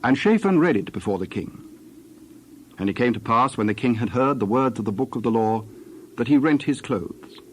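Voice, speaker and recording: Mid-pitched male scripture narrator. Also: lively intonation, moderate pace, slight background noise.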